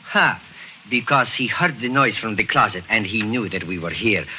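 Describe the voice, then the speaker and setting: A sly little schemer, oily and mocking as he purrs his words. His voice is bright and swings widely in pitch. Faint hiss sits under the old radio sound. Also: animated, normal speed, rough recording. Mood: gloating. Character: Mosca, small sly scheming middle-aged man. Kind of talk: 1940s radio acting.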